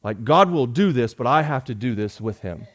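A man, preaching a sermon in a folksy, joking way, rising loud and dropping soft. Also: medium pitch, lively intonation, normal speed. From Georgia. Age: 40s. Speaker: pastor.

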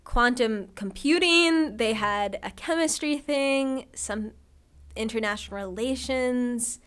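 A teenage girl from Ohio, a high school senior, chatting enthusiastically as a podcast guest. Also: slow, fairly bright tone, smooth. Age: teens. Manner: enthusiastic, upbeat. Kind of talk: casual interview chat.

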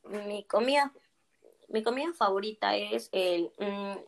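A woman's voice: mid-range voice, unhurried, vocal fry. A woman in her early twenties answering interview questions in a friendly, hesitant way, often repeating herself. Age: 20s. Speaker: guest.

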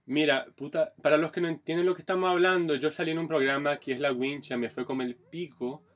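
A man's voice: fairly high pitch, fairly even intonation, fairly quick, fairly bright tone. A man from Brazil with a Brazilian accent, co-hosting a podcast in casual chat with a clipped, choppy way of talking. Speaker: podcast co-host, comedian.

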